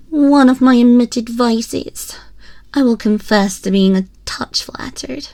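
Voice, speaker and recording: A woman's voice: medium pitch, darkish tone, dry recording. A woman playing a haughty, condescending young empress in a scripted ASMR roleplay, teasing and imperious, speaking intimately into a close microphone. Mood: imperious, indulgent. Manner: imperious, slow, theatrical, teasing drawl with breathy whispers. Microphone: close binaural microphone.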